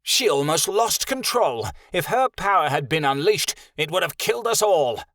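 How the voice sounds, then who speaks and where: A man's voice: a little high, sing-song, normal speed, a bit bright, no room sound, studio quality, pitched above the performer's own voice. A man voicing a cold, sneering man who gives orders and snarls.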